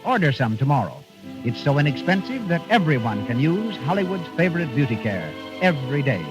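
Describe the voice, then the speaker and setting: A male announcer with a polished, declamatory 1930s sales delivery. His voice is high and animated. The old broadcast sounds dull and lo-fi. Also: fairly quick, over music. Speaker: radio announcer. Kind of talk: 1930s radio announcing and advertising.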